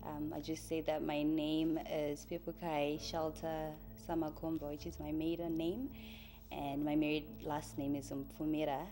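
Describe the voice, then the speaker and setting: A woman's voice: deep voice, little pitch movement, a little slow. A woman in her early thirties with a Zimbabwean accent, a native Shona speaker, giving a hesitant, reflective personal testimony. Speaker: church member telling her life story. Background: music.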